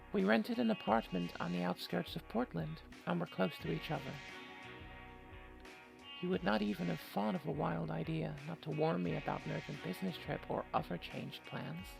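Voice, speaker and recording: Male gamer, higher-pitched with heavy vocal fry, joking and rambling between read-aloud lines, music underneath. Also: poor audio. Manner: wry, rambling, joking asides. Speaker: gamer and streamer doing a let's play. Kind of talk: casual game commentary mixed with reading story text aloud.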